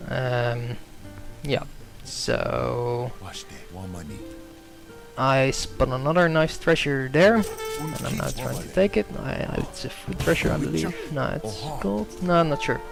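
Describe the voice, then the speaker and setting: A man's voice: medium pitch, takes it slowly, creaky, over music. A young Dutch-native man giving hesitant gameplay commentary, full of ums and self-corrections.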